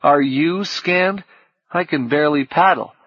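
A man's voice: fairly high pitch, a little slow, husky, voice pushed up. A man voicing a sick, exhausted teenage boy who talks wearily and with resignation. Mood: wry. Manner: weary, flat, resigned. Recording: dull lo-fi cassette audio.

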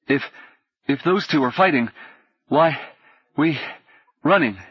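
A man voicing an earnest, bewildered, anxious ordinary man in an audiobook, speaking hesitantly and haltingly. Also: medium pitch, lively intonation, breathy edge, no room sound, highs cut off, voice pushed up. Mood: breathless. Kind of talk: audiobook character acting.

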